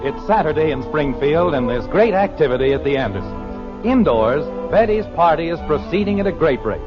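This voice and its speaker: Lively, wry American radio comedy narrator, male. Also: fairly high pitch, moderate pace. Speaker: show narrator. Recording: dull vintage radio recording, music behind.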